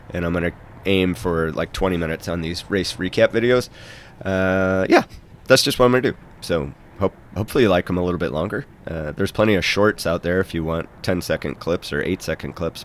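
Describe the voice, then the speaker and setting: Deep-voiced man, stuffed up with a cold. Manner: chatty, rambling, self-deprecating. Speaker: bike racer narrating his race footage. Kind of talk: casual race recap voiceover.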